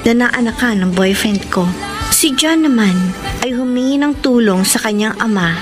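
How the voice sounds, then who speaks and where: Woman acting a troubled young Filipina, highish voice. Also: lively intonation, moderate pace, close to an everyday voice. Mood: sad, confiding. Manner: respectful, hesitant. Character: polite, hurt young woman, troubled girlfriend writing a letter. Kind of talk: Tagalog radio drama acting. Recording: music under the voice.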